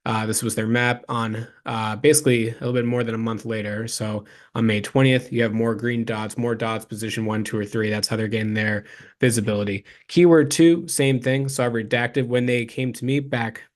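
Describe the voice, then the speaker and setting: A man's voice: medium pitch, fairly even intonation, normal speed. A man giving an informal explanatory presentation as a consultant, speaking directly to the viewer with practical advice. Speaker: local SEO consultant presenting a case study.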